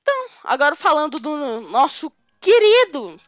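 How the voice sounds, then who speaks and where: A woman's voice: sits high, very animated, normal speed, no echo. A young woman from Belo Horizonte with a Mineiro accent, chatting casually and giggling as a fan podcast co-host. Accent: Mineiro Brazilian Portuguese. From Belo Horizonte, Brazil. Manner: chatty, giggly, rambling with fillers. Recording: muffled lo-fi audio with faint music.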